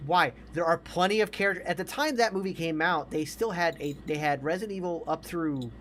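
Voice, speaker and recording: A man's voice: a little high, brisk, a bit bright, a little hiss or hum. A man in his forties chatting casually as a podcast co-host, opinionated and emphatic, repeating himself to make a point. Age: 40s. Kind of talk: casual chat about movies.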